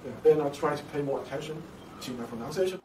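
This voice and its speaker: A man's voice: on the high side, fast talker, dark tone. Guy lecturer, lots of uh and like, sounds echoey and far off. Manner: hesitant, many "uh" and "like" fillers. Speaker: university lecturer. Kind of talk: interview for a program promo. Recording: echoey room, distant mic, background noise.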